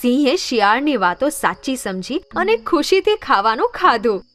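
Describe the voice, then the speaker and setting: A woman's voice: high-pitched, big pitch swings, moderate pace, a bit bright, no room sound. A woman narrating scripted children's stories as a storyteller. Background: background music.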